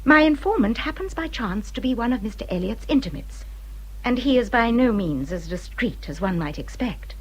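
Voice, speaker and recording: Period radio drama: bitter wronged English widow, swooping intonation, husky, formal and emphatic. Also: female voice, a little high, expressive, normal speed, poor audio. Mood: insistent. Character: bitter, injured widow warning a younger friend.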